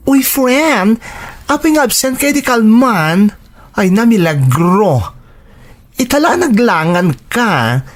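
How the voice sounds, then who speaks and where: An actress plays an effusive, supportive female friend in a radio drama. She speaks with an Ilocano Filipino accent and exclaims a lot, her fairly high voice swinging widely in pitch. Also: big pitch swings, takes it slowly, exaggerated voice. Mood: chatty, curious.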